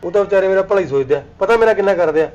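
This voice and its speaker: A man's voice: sits high, very fast, darkish tone, highs cut off, natural voice. A man playing a defensive grown son who argues back at his mother.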